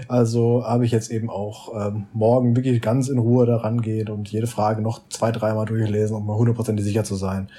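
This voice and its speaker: A man's voice: fairly low pitch, level tone, a little fast, hardly any pauses, mellow, slightly rough. A young man, chatting casually as a podcast co-host, recorded on his mobile phone.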